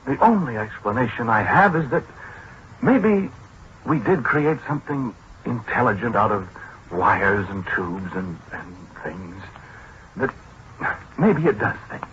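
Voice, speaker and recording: A halting, forlorn American scientist, old radio. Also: male voice, lowish voice, lively intonation, a bit bright. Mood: resigned. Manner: hesitant, halting, self-pitying. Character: disgraced, apologetic scientist, gentle, forlorn, talks to machines. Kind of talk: 1940s radio drama acting. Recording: muffled, noisy 1940s radio recording.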